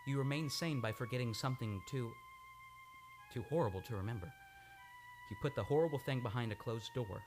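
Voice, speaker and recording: An actor playing a tormented man with amnesia in an old-time radio drama, halting and overwrought as he believes himself a killer. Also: mid-range voice, music in the background, natural voice. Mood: bleak, resigned. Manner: halting, overwrought delivery with repeated words.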